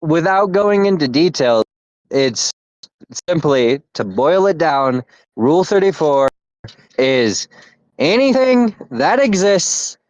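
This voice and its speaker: A man co-hosting a casual podcast, talking in halting bursts with many fillers and restarts. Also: on the high side, very animated, darkish tone, dry recording, rough recording. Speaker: podcast co-host.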